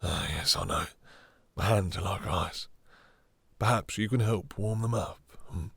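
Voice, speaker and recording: Slow, deep, husky vampire master, acted by a man. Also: fairly bright tone, dry recording, heavily acted. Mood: seductive, hungry. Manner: slow, theatrical, condescending, purring menace. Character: possessive, domineering male vampire master, seductive and menacing. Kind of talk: intimate scripted roleplay audio drama.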